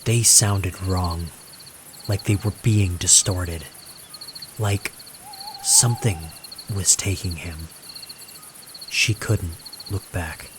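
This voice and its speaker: A man narrating a scripted horror story in a suspenseful, deliberate manner with dramatic pauses. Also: low voice, background noise.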